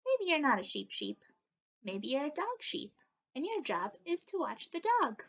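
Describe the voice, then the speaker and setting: A patient male sheepdog from a picture book, as a woman reads him. He is gentle and reassuring, in a high, lilting voice with slightly muffled sound. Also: big pitch swings, moderate pace, full character voice. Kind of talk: children's picture book read-aloud.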